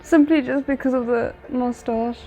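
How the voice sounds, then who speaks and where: A woman with a fairly high voice hosts a casual reaction commentary. She thinks aloud, musing in fragments and picking her way through each thought. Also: fairly even intonation, normal speed, mellow, soft background music. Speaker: reaction host commenting on a drama episode.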